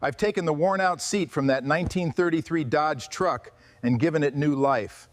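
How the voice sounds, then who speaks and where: Higher-voiced man with background noise. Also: moderate pace. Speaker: restoration video host. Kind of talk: hands-on workshop commentary.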